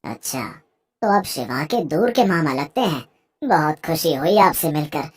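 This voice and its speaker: An actor voicing a gentle old widowed village father in a cartoon moral story, mild, trusting and fatherly. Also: mid-range voice, expressive, measured pace, slight background noise, close to an everyday voice. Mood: mild, wistful. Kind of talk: animated Hindi moral story acting.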